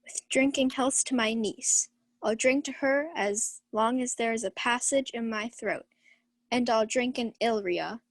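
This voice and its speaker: A carousing knight and uncle in a radio Shakespeare play, acted by a woman. The voice is rather high and recorded dry. The delivery is blustering and defiant, all tavern bravado. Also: a little slow, no room sound. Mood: blustering, merry. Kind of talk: student Shakespeare radio acting.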